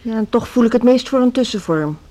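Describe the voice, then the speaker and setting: An actress playing a seasoned, resigned music school headmistress in a radio drama, composed and patronising. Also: female voice, a little high, animated, brisk, dark tone, lightly acted. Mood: conciliatory. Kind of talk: Dutch social radio drama acting.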